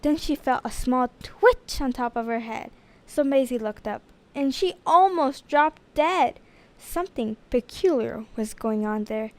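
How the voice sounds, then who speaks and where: A woman reading a rhyming children's story aloud in an expressive storytelling style. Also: sits high, measured pace. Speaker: storybook reader.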